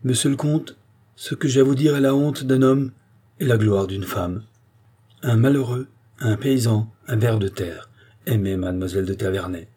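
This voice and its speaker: Audiobook role: grave, humble doctor, done by a male reader, sorrowful and measured, mellow, slightly husky. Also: medium pitch, voice pushed up, close to an everyday voice. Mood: grave confession. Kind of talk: dramatic audiobook character acting.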